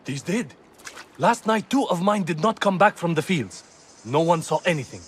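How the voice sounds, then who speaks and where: An actor playing a drunk, forgetful slave owner who rambles evasively in a video game cutscene, sounding woozy. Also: male voice, fairly high pitch, expressive, normal speed, husky. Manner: rambling, evasive, theatrical exclamations. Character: drunk, forgetful, boastful-whining male slave owner in historical Iraq.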